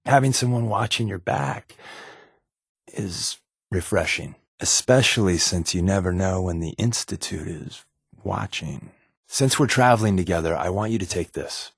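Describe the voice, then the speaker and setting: A man's voice: sits low, a little slow, vocal fry, breathy edge, no echo, studio quality, heavily acted. A man playing an easygoing, wisecracking secret agent with hidden guilt in a video game, talking in a wry, casual, conversational way. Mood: sincere, casual. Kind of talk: video game companion dialogue.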